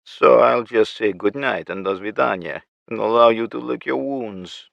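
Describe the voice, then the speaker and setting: A man voicing a gloating male villain with a Russian accent, taunting and mocking in a slow, drawn-out way. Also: mid-range voice, creaky, a bit bright, dry recording, voice pushed up. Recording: muffled lo-fi recording.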